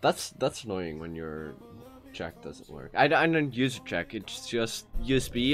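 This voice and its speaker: A Dutch man with a Dutch accent, chatting casually while live-streaming a game, rambling with many fillers and restarts into a cheap USB mic. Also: lowish voice. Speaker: gaming streamer. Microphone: cheap USB microphone. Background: soft background music.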